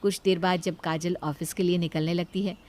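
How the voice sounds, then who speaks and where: A woman's voice: lowish voice, fairly even intonation, brisk, a little mellow. A woman reading a scripted moral family story as the storyteller.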